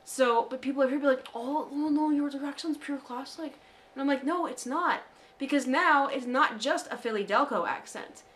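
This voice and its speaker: A woman's voice: sits high, fairly bright tone. A young woman from outside Philadelphia with a Philly accent mixed with Derry, chatting casually on a podcast with lots of fillers. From Delaware County, Pennsylvania. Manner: chatty, quick asides, hedging with fillers. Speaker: podcast co-host. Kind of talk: casual chat.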